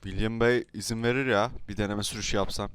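A man's voice: lowish voice, steady tone, quick pace, a bit bright, clear, resonant tone. A man improvising roleplay banter in an online game, mock-formal and theatrical, often repeating his phrases.

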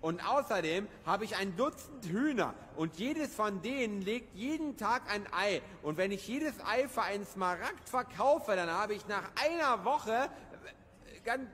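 A high-pitched, huffy village farmer, played by a man, boastful and greedy in an audio drama. His bragging rings in a long hall reverb with faint noise. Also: animated, unhurried, a bit bright. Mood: indignant, boastful.

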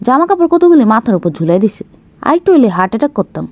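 A woman's voice: on the high side, big pitch swings, racing, darkish tone, no room sound, muffled recording. A woman voicing a sulky, put-upon cartoon youngster with a Bangladeshi Bengali accent, whining indignantly in colloquial speech. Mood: sulky grumbling. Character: sulky, put-upon grown child of a nagging mother.

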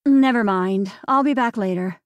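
A woman's voice: mid-range voice, moderate pace, no echo, dull audio, lightly acted. A woman narrator voicing a defensive young woman arguing with her sister.

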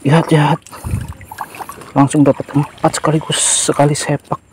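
A man's voice: a little high, flat delivery, normal speed, a little mellow, slight background noise. A man casually commenting on his catch for viewers, eager and chatty, outdoors in a shallow river. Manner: eager, addresses viewers as friends. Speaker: fishing vlogger.

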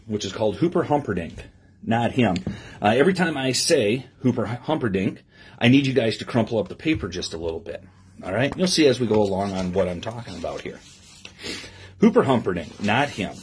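A middle-aged man reading aloud to children in an expressive sing-song way, with simple asides to his listeners. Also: medium pitch. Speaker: police lieutenant reading to children. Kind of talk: read-aloud story time with asides to young listeners. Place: small office, indoors. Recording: clear background noise with clicks.

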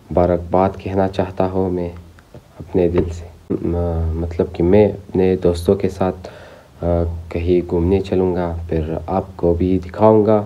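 A man's voice: deep voice, highs cut off. A teenage boy, a Persian speaker, vlogging casually into a phone held at arm's length, with a sore throat from a cold, chatting to viewers as guys. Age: teens. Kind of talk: casual vlog talk to camera. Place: home rooms and outdoors.

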